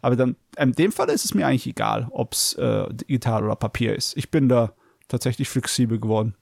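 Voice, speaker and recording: A gravelly-voiced man co-hosts a podcast in casual conversation. His pitch sits in the middle range, and the recording is dry, with no room sound. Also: fairly quick, a little mellow, no room sound. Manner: chatty, laughs while telling stories. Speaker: podcast co-host. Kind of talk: casual chat.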